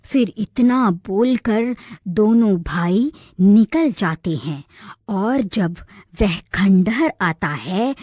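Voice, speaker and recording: A woman's voice: mid-range voice, warm tone, no room sound, studio quality. A woman narrating a scripted children's cartoon story.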